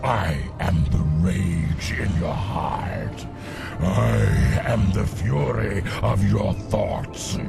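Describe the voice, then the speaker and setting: An actor voicing a colossal ancient demon lord in a game cinematic, domineering and contemptuous, with a booming growl and grandiose menace. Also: male voice, vocal fry, full character voice. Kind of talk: video game cinematic acting.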